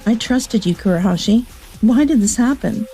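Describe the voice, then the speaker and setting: A woman's voice: fairly high pitch, animated, moderate pace, dark tone, soft background music, close to an everyday voice. An actress playing an earnest, contrite young woman, a president's daughter, in a manga dub. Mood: hurt. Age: young adult.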